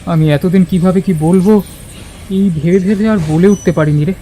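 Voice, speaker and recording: An actor as an earnest, high-voiced young man. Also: moderate pace, warm tone, slight background noise, lightly acted. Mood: apologetic. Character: gentle, earnest young man, careful and apologetic. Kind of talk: audio drama acting. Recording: music under the voice.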